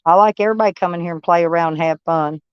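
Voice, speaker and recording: A woman's voice: lowish voice, racing, smooth, dry recording, lo-fi sound. Woman with a Texas twang. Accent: Texan. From Kentucky, USA. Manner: rambling, folksy, blunt and swearing. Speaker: livestream host. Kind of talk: casual live-stream chat with viewers.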